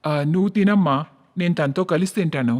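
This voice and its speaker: A man's voice: high voice, a little fast, natural voice. A man voicing a kind, caring young husband in a cartoon story. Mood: gentle. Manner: gentle, reassuring.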